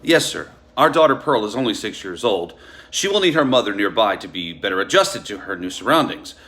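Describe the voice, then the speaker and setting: A man acting a stiff, domineering husband in a period stage drama. His voice is bright and forceful with animated pitch, formal and clipped, polite on top and controlling underneath. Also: fairly quick. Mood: stern, controlling. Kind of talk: musical theatre table read.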